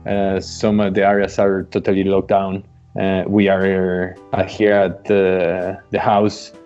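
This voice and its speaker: Soft-spoken Spanish-native man, hesitant. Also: low-pitched, monotone, slow, highs cut off, faint music behind. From Mexico. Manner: hesitant, many uh fillers, reflective. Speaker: guest. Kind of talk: casual remote conversation.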